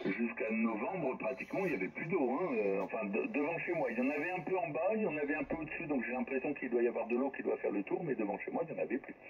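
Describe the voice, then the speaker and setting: A man's voice: mid-range voice, animated, fast, hardly any pauses. A man talks casually over amateur radio, hesitating and repeating himself as he goes. The transmission is dull and band-limited, with a faint layer of noise. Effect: shortwave radio transmission. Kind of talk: casual ham radio chat. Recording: dull, lightly noisy radio link. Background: faint radio static.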